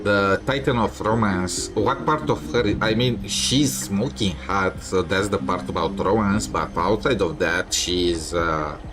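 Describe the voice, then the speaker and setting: A male game streamer with a lowish voice. He comments casually and haltingly, often pausing to find words, while game music plays clearly behind him.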